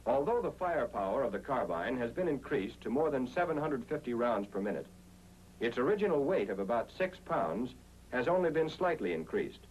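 A man's voice: mid-range voice, sing-song, a little fast, darkish tone, a little hiss or hum, low-quality recording. An American man reading a scripted 1940s instructional film narration in an emphatic, explanatory way, on an old film soundtrack.

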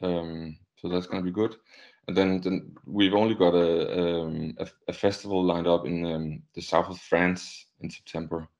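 Young male musician, Danish accent, low voice, casual interview over dull-sounding video call. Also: flat delivery, normal speed, darkish tone. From Denmark. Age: young adult.

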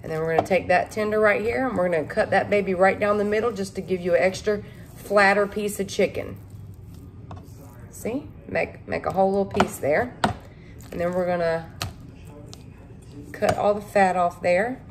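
A chatty woman with a drawl talks her viewers through a recipe as she cooks. She sounds folksy and easygoing, with a medium pitch. Her voice comes through the camera mic in a home kitchen, with a faint room hum behind it. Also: fairly even intonation, normal speed, long pauses. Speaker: home cooking vlogger. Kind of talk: casual cook-along talk to camera.

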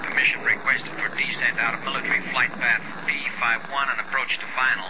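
An actor playing a tense military jet pilot in a vintage radio drama, speaking in clipped radio phrases. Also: male voice, medium pitch, little pitch movement, quick pace, lightly acted. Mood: businesslike. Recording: muffled old radio broadcast, noisy.

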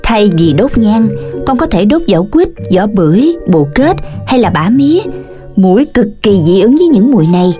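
A woman acting as a gentle cartoon mom who knows her stuff. She explains patiently. Music plays clearly behind her. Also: mid-range voice, big pitch swings, normal speed, natural voice. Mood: patient, advising. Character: gentle, knowledgeable cartoon mother teaching her child. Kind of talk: children's cartoon dubbing.

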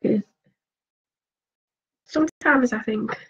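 A woman vlogger chatting casually while applying her makeup, a little sniffly with a cold, asking viewers questions and murmuring soft asides as she concentrates. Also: a little high, slow, muffled recording. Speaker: beauty vlogger.

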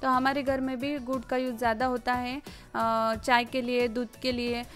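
High-pitched woman narrating with a lilt. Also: flat delivery, moderate pace. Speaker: home cooking vlogger. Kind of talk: casual recipe voice-over narration. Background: background music.

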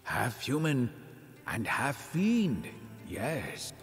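Excitable old fiend, wildly swooping voice. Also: male voice, mid-range voice, heavily acted. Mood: grave. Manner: theatrical, formal, deliberate. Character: elderly, devoted male fiend retainer, small and excitable. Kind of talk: video game cutscene acting. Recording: long hall reverb, music underneath.

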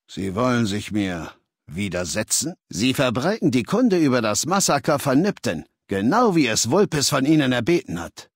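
An actor playing a commanding, haughty warlord in a video game, speaking in an imperious, measured, lecturing manner. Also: male voice, mid-range voice, breathy edge, no room sound, clean studio sound. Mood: menacing, then formal, measured. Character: commanding, haughty male warlord ruling a legion. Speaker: video game character, faction leader. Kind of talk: scripted game dialogue in a German dub. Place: dry studio recording.

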